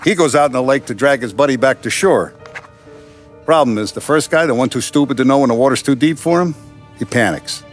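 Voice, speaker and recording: A man playing a calm, commanding American Mafia boss in a crime video game, speaking deliberately and with quiet menace. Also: medium pitch, faint music behind, natural voice. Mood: veiled menace. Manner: deliberate, authoritative, veiled threats. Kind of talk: cinematic crime game acting.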